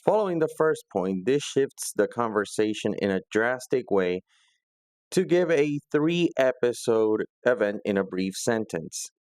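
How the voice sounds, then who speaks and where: A man's voice: medium pitch, expressive, measured pace, a little mellow, no room sound. Dry-sounding man narrating a review. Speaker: host of a comics review video. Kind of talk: scripted video essay narration.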